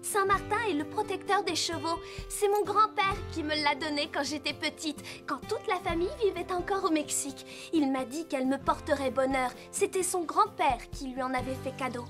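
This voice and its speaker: Woman as sensitive young girl rider, children's cartoon, extremely high pitch, emotive, earnest, music behind. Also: normal speed, lightly acted. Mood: fond. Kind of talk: French-dubbed children's cartoon acting.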